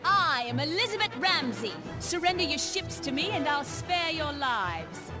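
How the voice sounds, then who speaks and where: A mocking pirate captain for a game cutscene. Her voice is high and swooping, drawn out slowly with haughty relish, over loud music. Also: very animated. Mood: mocking, threatening. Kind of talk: historical strategy game cutscene acting.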